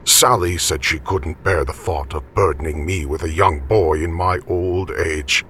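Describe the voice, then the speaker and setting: A man voicing a jovial, teasing elderly grandfather from the country in an audiobook story, with a raspy, folksy delivery. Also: very deep voice, unhurried, a little hiss or hum, voice pushed down. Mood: encouraging. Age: older. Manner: animated, folksy, encouraging.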